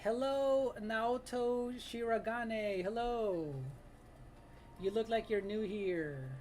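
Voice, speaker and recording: Late-thirties art streamer, relaxed chat with viewers, frequent laughs, mid-pitched voice. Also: takes it slowly, faint music behind. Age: 30s. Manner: laughs often, greets chat viewers by name. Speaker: live-stream artist host. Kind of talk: casual live-stream chat while drawing.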